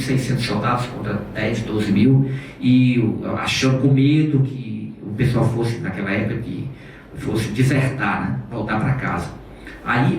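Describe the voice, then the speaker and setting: Male lecturer, rambling animated storyteller, distant mic, faint room hiss. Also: mid-range voice. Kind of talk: public lecture. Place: auditorium. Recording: echoey hall recorded from far off.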